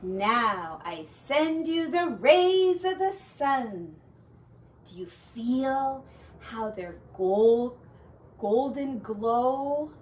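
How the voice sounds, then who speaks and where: A gentle storybook field mouse read by a woman, a quiet poet with a high voice. He speaks very slowly and simply, in a calm and dreamy way, a little away from the mic. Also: far from the mic, exaggerated voice. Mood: soothing, slow.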